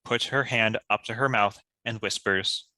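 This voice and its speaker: A narrator reading stage directions as scripted narration for an animated comedy table read. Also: medium pitch, unhurried, dry recording.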